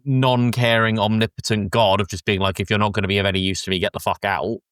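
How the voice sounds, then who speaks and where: A man with a fairly low voice hosts a casual film chat. He rambles loosely and sounds a little congested, as if sick. The recording is close and dry, with little room sound. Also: little pitch movement, fast talker, a bit bright, clean tone, dry recording. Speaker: podcast host.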